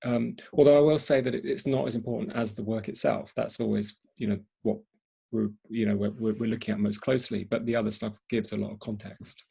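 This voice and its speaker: Gravelly, husky man hosting a panel. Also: on the low side, fairly even intonation, fairly quick, warm tone. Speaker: event host and moderator. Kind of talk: panel discussion, spontaneous talk.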